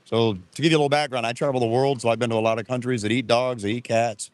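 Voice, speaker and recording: A man who travels the world debating casually with a street interviewer outdoors, talkative and quick to argue back. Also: mid-range voice, steady tone, no room sound. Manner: talkative, argumentative, chuckling. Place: outdoors at a beach.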